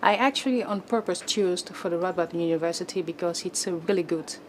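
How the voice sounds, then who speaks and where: A young woman from Cameroon, a PhD student, speaking in a deliberate, formal way in an interview. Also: lowish voice, slight background noise, rough recording. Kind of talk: interview testimonial.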